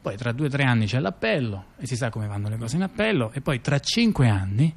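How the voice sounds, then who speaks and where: A man's voice: medium pitch, sing-song, brisk, breathy edge. A man playing a scheming politician who reassures a crime boss in an insistent, repetitive, confidential way. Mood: coaxing, reassuring. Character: scheming male politician coaxing and calming a nervous crime boss.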